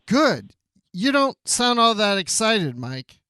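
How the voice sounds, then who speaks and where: A man's voice: high-pitched, very animated, slow, pushed and bright, smooth, no echo, studio quality. A man co-hosting a podcast in casual chat, with a playful, joking manner. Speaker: podcast co-host.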